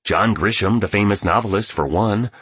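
A man voicing an eccentric, grandly encouraging billionaire mentor in an audiobook, emphatic and gravelly. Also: on the low side, moderate pace, a bit bright, no echo, voice pushed up. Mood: earnest, inspiring. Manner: emphatic, exclamatory, preaching.

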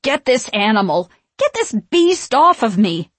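A woman's voice: medium pitch, huge pitch swings, measured pace, husky, no room sound, full character voice. Woman acting an imperious, shrieking witch leader. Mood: shrieking outrage. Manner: commanding, scolding, archaic phrasing. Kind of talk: audiobook character voice.

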